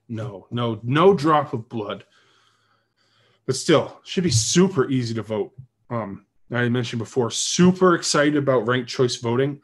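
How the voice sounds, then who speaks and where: Chatty joking male podcast host. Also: mid-range voice. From New Hampshire. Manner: joking, chatty, thinks aloud. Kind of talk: casual political chat on a livestream.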